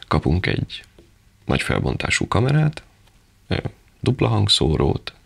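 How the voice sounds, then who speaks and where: A man's voice: sits low, vocal fry, fairly bright tone, slightly rough. A man presenting a product in an unscripted, informal and explanatory way.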